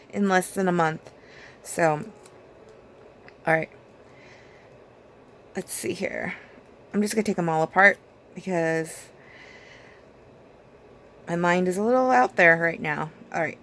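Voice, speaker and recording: A woman vlogger losing her voice, her speech hoarse and raspy as she chats casually through a nail routine with plenty of fillers. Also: lowish voice, a little hiss or hum. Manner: rambling, chatty, with many fillers and small laughs. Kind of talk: casual chat while doing her nails.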